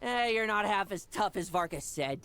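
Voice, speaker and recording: A woman's voice: medium pitch, lively intonation, moderate pace, fairly bright tone, slight background noise, lightly acted. A woman voicing a brash, battle-hungry young knight with a cocky, swaggering delivery in a video game. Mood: taunting.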